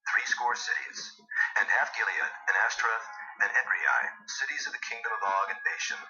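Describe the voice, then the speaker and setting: A man with a fairly low, expressive voice narrates scripture solemnly and formally. He delivers it as measured, dramatized reading. The sound is somewhat muffled, with music behind him. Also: recorded from a distance.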